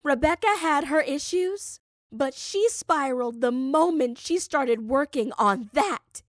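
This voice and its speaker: A woman's voice: sits high, animated, a little slow, dry recording, clean studio sound, voice pushed up. A woman voicing a bitter, furious younger woman in an audiobook, hissing and snapping her accusations. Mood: bitter, outraged. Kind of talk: audiobook character voice.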